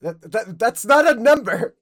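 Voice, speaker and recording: A woman's voice: a little high, animated, normal speed. A woman chatting casually while playing a game with friends, swearing, laughing and stumbling over her words. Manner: swearing, laughing, rambling restarts. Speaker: streamer. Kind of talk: casual gaming chat with friends.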